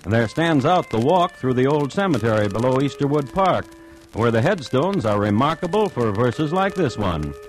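Wry, deliberate host played by a man for old-time radio crime tales; mid-range voice with animated inflection. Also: mid-range voice, soft background music, close to an everyday voice. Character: droll, urbane male crime-story host. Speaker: host and narrator.

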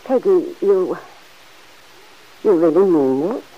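A gentle, worried old aunt. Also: female voice, lowish voice, sing-song, takes it slowly, lo-fi sound, exaggerated voice. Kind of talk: 1940s radio acting.

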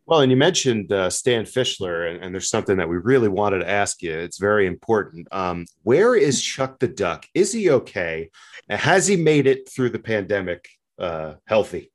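Low-pitched man, podcast co-host. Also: big pitch swings, moderate pace. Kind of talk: casual sports chat and interviewing.

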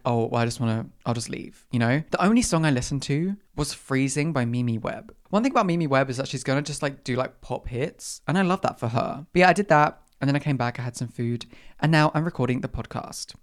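A young adult podcast host, slightly croaky after a run, telling stories in a sassy, dramatic way and acting out their own reactions. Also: fairly quick. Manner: sassy, dramatic, acts out its own reactions with big pitch jumps. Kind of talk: casual storytelling monologue.

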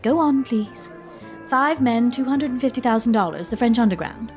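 High-voiced, wry young widow, acted by a woman. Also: lively intonation, normal speed, darkish tone, soft background music, natural voice. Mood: curious, insistent. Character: frightened but witty young American widow. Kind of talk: radio drama acting.

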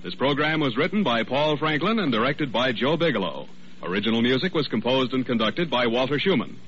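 A man's voice: medium pitch, lively intonation, fairly quick, a bit bright, slight background noise. A man with an American accent announcing a scripted radio drama in a vintage broadcast style. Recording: dull old radio recording. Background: music.

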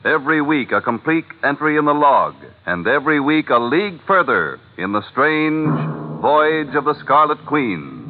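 Animated old-time radio announcer, male. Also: on the high side, normal speed, slight background noise. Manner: grand, declamatory. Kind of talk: 1940s radio announcing, scripted, dramatic. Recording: phone-like old radio recording, music behind.

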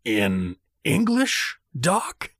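Man playing a bewildered husky dad, animated. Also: on the high side, extremely slow, no room sound, clean studio sound, heavily acted. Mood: sarcastic. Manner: sarcastic, hesitant, flustered. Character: bewildered, wisecracking average suburban dad, adult man. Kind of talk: audio fiction comedy acting.

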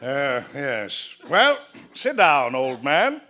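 Sharp, forceful office boss. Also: male voice, mid-range voice, wildly swooping pitch, extremely slow, full character voice. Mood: genial surprise. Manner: businesslike, proper. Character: brisk middle-aged male office boss, genial but puzzled. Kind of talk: old-time radio situation comedy acting.

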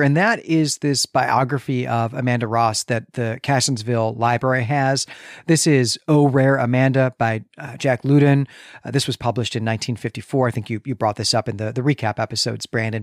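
An American man from the Chicago area co-hosting a podcast, explaining a book in a measured, academic way. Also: medium pitch, dry recording, studio quality. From northern Illinois, Chicago area, United States. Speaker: podcast co-host. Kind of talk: casual literary discussion.

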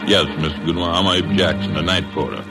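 A plain-spoken, working-class night porter in old-time radio drama. His voice is deep, and music plays clearly behind it. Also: steady tone, brisk. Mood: recounting, earnest.

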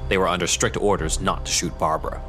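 A man narrating an audio drama in a steady storytelling delivery. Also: sits low, fast talker, over music.

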